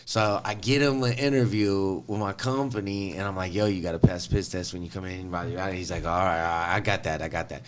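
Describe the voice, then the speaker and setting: A man with a low voice hosts a casual podcast. He talks in loose slang with easy swearing. A slight noise runs behind him. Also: fairly even intonation, brisk, few pauses. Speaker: podcast host. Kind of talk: casual profane banter.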